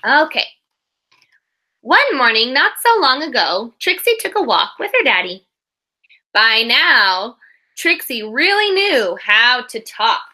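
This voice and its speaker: A woman's voice: fairly high pitch, big pitch swings, slow, pushed and bright. A woman reading a picture book aloud to young children like a teacher, expressively, with explanatory asides and questions.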